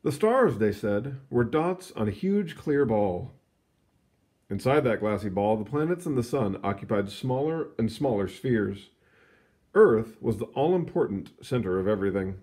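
A man reading a children's science book aloud in an expressive storytelling manner, stretching words for emphasis, with casual asides. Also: medium pitch. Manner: animated, storytelling delivery with drawn-out emphasis. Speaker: read-aloud reader.

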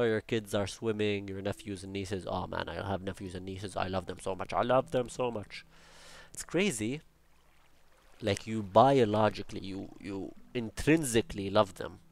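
A man's voice: on the low side, vocal fry. A young man with an Arabic accent, casually commenting while building in a game, rambling with jokey asides and talking to himself. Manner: chatty, rambling, jokey asides and self-talk. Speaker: Minecraft building channel host.

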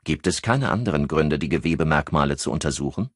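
A man's voice: very deep voice, expressive, fast talker, husky, dry recording, clean studio sound, exaggerated voice. A man voicing a terse, determined male police commissioner who speaks directly in an audiobook. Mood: inquiring.

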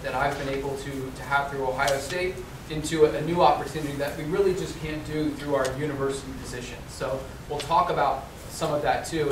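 A man's voice: a little high, distant mic. A middle-aged man giving a conference presentation in a lecture room, conversational with many ums, quizzing the audience. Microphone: far room camera, no mic seen. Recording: echoey room recorded from afar, noisy.

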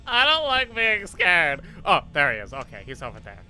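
A jumpy cartoon teacher played by a man narrates a horror game with exclamations and repeated questions. The voice is sharply bright and swings expressively over faint background noise. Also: sits high, wildly swooping pitch, measured pace, exaggerated voice. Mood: scared. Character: nervous, excitable male cartoon teacher playing a horror game. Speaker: gameplay video host. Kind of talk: in-character horror game play commentary.

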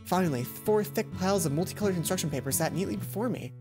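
Young woman reading out a visual novel, lowish voice, game music behind her. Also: lively intonation, fast. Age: young adult. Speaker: let's play host. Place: indoor room, seated at a computer.

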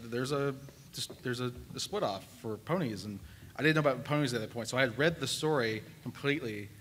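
A man's voice: medium pitch, fairly bright tone. Casual man on panel, faint room noise. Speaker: panelist, musician and sound worker. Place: large convention meeting room, panel table. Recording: faintly noisy convention room.

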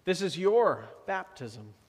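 Slow-preaching pastor in a reverberant church. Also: male voice, sits high, very animated, breathy edge. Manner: slow, measured preaching with drops to soft emphasis. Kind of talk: sermon. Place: church sanctuary.

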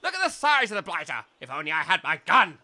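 A man's voice: high-pitched, wildly swooping pitch, fast talker, pushed and bright, heavily acted. A man voicing an absent-minded, blustering old aristocrat with an upper-class English accent in a comic audio drama. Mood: outraged. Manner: exclamatory, blustering.

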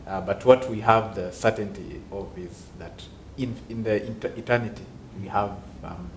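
Low-voiced young man, measured and thoughtful panelist. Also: slight background noise. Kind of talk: casual discussion. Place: small office studio, indoors.

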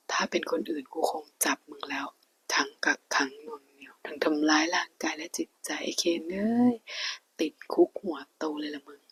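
A man's voice: fairly high pitch, vocal fry, slightly rough, heavily acted, poor audio. A woman whispering a blunt young male cop. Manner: soft whispered delivery, crude banter. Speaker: police officer friend.

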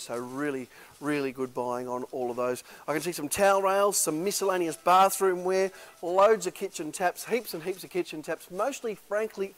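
A middle-aged man, an auctioneer walking through a warehouse and talking up the lots in a chatty salesman patter full of fillers. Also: fairly high pitch. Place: large warehouse indoors.

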